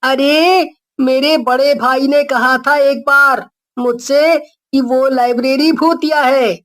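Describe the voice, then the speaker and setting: A woman's voice: high-pitched, a bit bright. A woman voicing an earnest, polite young schoolboy in a cartoon story. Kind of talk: Hindi cartoon story character voice.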